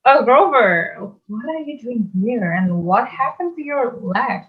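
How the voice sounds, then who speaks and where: A woman's voice: fairly high pitch, animated, measured pace. A woman playing an impulsive, bewildered young demigod boy in a theatrical table read, earnest and exclamatory. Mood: puzzled, evasive. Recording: dull lo-fi audio with music.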